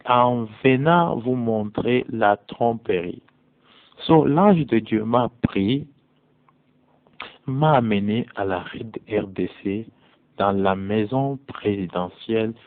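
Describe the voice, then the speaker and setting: A man preaching as a prophet in slow, deliberate French, his being English. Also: lowish voice, no room sound. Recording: tinny phone-like audio, dry.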